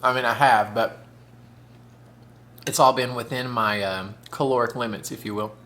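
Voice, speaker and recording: A man's voice: mid-range voice, fairly even intonation, normal speed, a bit bright, slight background noise. Man in his thirties, camp, chatty food vlogger, often talks with his mouth full. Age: 30s. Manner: chatty, camp, says "y'all" and "honey". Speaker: mukbang vlogger. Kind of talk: casual chat while eating.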